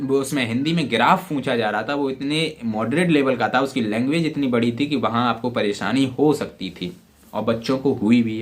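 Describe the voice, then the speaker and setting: A man's voice: mid-range voice, a bit bright. A young man from Uttar Pradesh talks informally to exam candidates, with frequent check-in phrases and English words mixed in. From Uttar Pradesh, India. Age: young adult. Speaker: exam-prep YouTuber.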